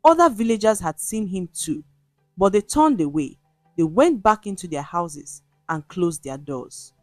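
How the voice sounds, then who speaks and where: Female folktale narrator, dramatic and measured, lower register, close dry recording. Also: lively intonation, no room sound, faint music behind. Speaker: storyteller.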